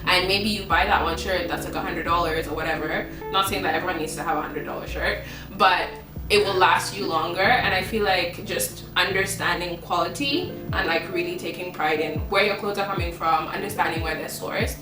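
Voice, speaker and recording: A woman's voice: fairly low pitch, brisk. A woman in her twenties from Ghana, raised in Kenya and Uganda, hosting her channel in a casual chat and saying "like" often. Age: 20s. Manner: chatty, fills speech with "like". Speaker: channel host. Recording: roomy echo, distant mic, background music. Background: background music.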